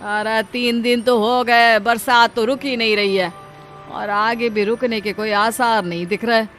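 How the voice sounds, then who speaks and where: A woman acts out a poor labourer husband in a cartoon moral tale, with a somewhat high voice. He sounds fretful and caring, protective of his household. Light music plays behind him. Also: fairly even intonation, a little slow, fairly bright tone. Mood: worried. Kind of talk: Hindi moral cartoon story dialogue.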